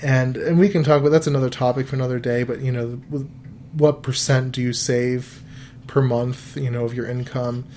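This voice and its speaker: A man in his thirties hosting a podcast, giving financial advice in a relaxed, rambling way full of fillers and restarts. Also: medium pitch, normal speed, a little hiss or hum. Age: 30s. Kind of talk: casual podcast chat.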